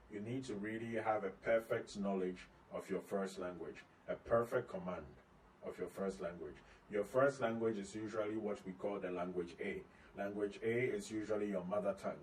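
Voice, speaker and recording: A man with a fairly low voice gives an informal video lesson, walking through tips one by one in a steady, explanatory way and restating the main points. He sits somewhat back from the microphone, and a faint hum of room ambience runs underneath. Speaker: company CEO giving tips. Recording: slightly distant mic, faint ambient noise.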